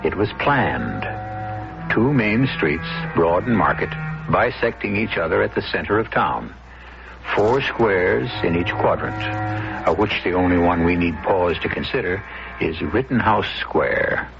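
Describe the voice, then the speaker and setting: Man, gravelly low voice, theatrical narration, measured pauses, dull old radio with loud music. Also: lively intonation. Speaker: radio drama host and narrator.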